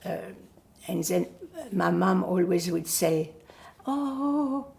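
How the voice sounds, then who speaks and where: Older lady, yiddish was her first language, low gravelly voice, lots of pauses and ums. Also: flat delivery, a little mellow. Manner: halting, searching for words. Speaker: Holocaust survivor giving testimony. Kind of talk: oral history interview.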